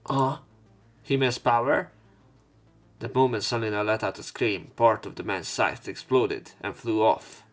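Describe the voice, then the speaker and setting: Low-voiced man narrating a visual novel. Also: a little slow. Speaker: let's play narrator. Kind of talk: dramatic read-aloud narration with casual commentary. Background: game music.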